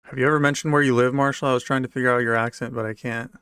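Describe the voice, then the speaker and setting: A man's voice: medium pitch, steady tone, very fast, a bit bright, smooth, no echo, studio quality. An American man talking casually off the cuff as he answers viewers' comments, rambling a little and repeating his phrases. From United States, raised moving around in a military family.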